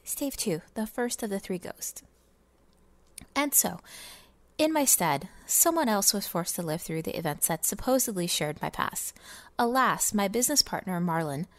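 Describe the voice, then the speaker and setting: A woman's voice: medium pitch, dry recording, natural voice. Woman playing a theatrical, sneering miser. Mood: scheming, aggrieved. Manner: theatrical, pompous, scornful delivery. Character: miserly, sneering, self-satisfied businessman narrating holiday memoir. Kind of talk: dramatic reading of fantasy game lore.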